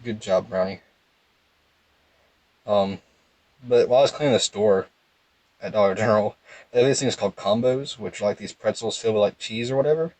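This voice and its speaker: A young man with a calm, low voice, giving casual, rambling game commentary in a laid-back monotone. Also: brisk, pauses often, dry recording. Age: young adult. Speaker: gaming video host.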